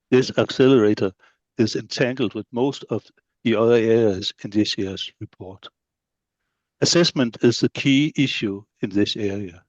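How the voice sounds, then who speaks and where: A middle-aged man with a Danish accent and Danish as his first language, giving a measured conference presentation as a school head of studies, laying out points one by one with occasional fillers. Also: mid-range voice, darkish tone, no room sound, dull audio. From Denmark. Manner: measured, list-like delivery with fillers.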